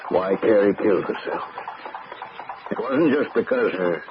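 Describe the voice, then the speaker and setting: An actor playing a middle-aged father in an old-time radio drama, resigned and solicitous, with a halting, measured, paternal manner. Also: lowish voice, lively intonation. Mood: grave, resigned. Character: grieving older father, resigned, solicitous, quietly evasive. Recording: muffled, noisy old radio recording.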